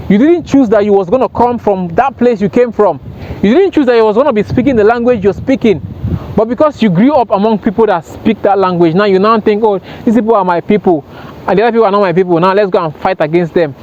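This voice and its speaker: High-pitched man giving an earnest motivational talk. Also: quick pace, darkish tone. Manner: earnest, repetitive, emphatic. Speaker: self-help vlogger. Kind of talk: impromptu motivational talk to camera. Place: outdoors by water, sitting on a rail. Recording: dull lo-fi sound, outdoor noise.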